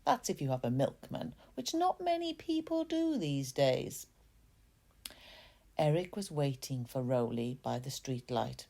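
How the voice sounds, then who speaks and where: Female storyteller, low and mellow, gently confiding narration with chatty asides. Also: very animated, unhurried, vocal fry. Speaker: author reading own story.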